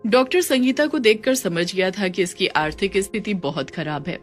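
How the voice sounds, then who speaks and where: A woman's voice: mid-range voice, moderate pace, faint music behind. A woman reading scripted narration for a moral story.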